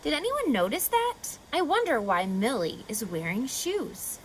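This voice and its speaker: Expressive, high-voiced woman reading to children. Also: measured pace, slight background noise. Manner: expressive, instructive, asking questions of young listeners. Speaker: teacher reading a picture book to children. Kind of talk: children's read-aloud with teaching asides.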